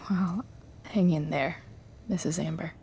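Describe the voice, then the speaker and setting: A woman playing an awkward, soft-hearted, guarded teenage girl in a video game, speaking in a hesitant, understated way. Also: low voice, steady tone, measured pace, a little hiss or hum. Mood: subdued.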